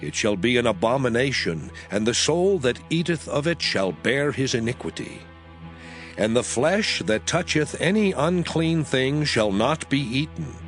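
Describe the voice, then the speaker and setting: A man narrating Bible scripture in a solemn, measured reading style. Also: medium pitch, big pitch swings, faint music behind.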